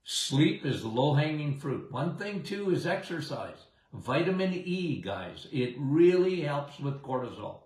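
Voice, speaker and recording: A man's voice: fairly high pitch, big pitch swings, a little slow, few pauses, darkish tone. A middle-aged man hosting a casual live health talk, with a big voice and a chatty, rambling way of speaking, often checking in with "okay?" and "guys". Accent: Canadian. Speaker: health show host. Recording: roomy echo.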